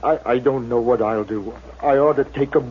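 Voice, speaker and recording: A gruff, sickly rancher played by a male actor with a raspy rural American voice. He sounds weak and resentful of the world, in a muffled vintage radio western recording. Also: medium pitch, moderate pace, close to an everyday voice. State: weak and ailing. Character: bitter, bedridden frontier rancher, gruff and ailing. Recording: dull old radio recording.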